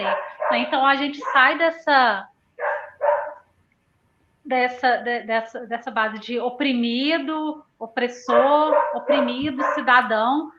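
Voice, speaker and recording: A woman's voice: sits high, noisy background. A Brazilian woman in her thirties speaking reflectively in a conversational panel discussion, often punctuating her points with tag questions. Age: 30s. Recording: dull lo-fi audio.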